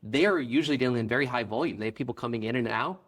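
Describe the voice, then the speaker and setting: A man's voice: medium pitch, rapid-fire. A man hosting an interview, asking questions and summing up the guest's points in a friendly way. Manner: friendly, sums up and checks facts. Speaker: podcast host.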